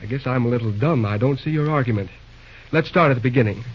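A man's voice: mid-range voice, fast, breathy edge, close to an everyday voice. Man acting brash young railroad boss, muffled old radio. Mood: flustered. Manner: blunt, slangy, stammers when flustered. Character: brash, plain-spoken young railroad superintendent, stubborn and smitten.

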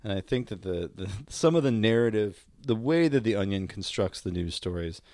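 Male podcast co-host, fairly deep, rambling comedic chat, dry studio sound. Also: expressive, a little fast, darkish tone, dry recording.

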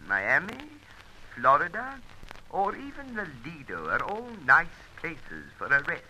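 An actor playing a smug, taunting anonymous caller with theatrical, mannered speech, in old radio serial style. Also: male voice, fairly high pitch, wildly swooping pitch, unhurried, bright, forceful tone, a little hiss or hum, heavily acted, low-quality recording. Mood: slyly threatening.

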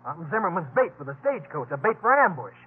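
A man's voice: a little high, sing-song, quick pace, faint music behind. An actor playing a tense, stubborn, exasperated man held captive, in 1950s American radio drama style. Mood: exasperated, bitter. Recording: tinny, noisy 1950s radio recording.

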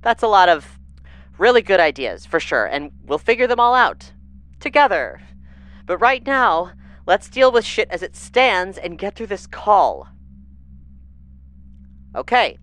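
A woman's voice: mid-range voice, sing-song, a little slow, long pauses, a bit bright. A woman playing a harried, bossy moon base leader in an audio drama, blunt, informal and sarcastic. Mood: brisk, stressed.

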